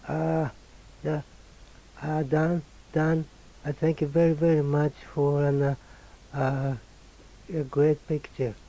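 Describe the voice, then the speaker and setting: A man from Japan speaking English with a Japanese accent, halting and repeating words in an amateur radio contact. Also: a little high, level tone, gravelly, mellow. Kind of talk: casual ham radio contact. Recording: very muffled, hissy radio link.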